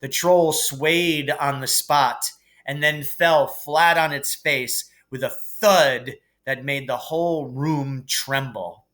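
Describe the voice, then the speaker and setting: A man narrating a children's novel aloud as a teacher would, measured and expressive. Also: mid-range voice. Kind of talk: storybook narration.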